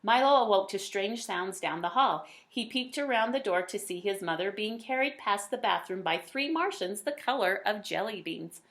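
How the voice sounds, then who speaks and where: A woman reads a picture book aloud in a mid-pitched voice. She is an expressive storyteller who leans on key words for drama and stretches some of them out. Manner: dramatic emphasis with drawn-out words.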